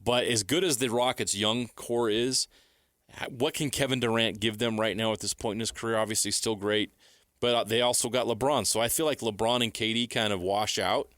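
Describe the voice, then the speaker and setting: A man's voice: lowish voice, steady tone, brisk, fairly bright tone, no echo, clean studio sound. An American man hosting sports talk, giving opinionated predictions in short, declarative sentences. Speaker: sports talk show host.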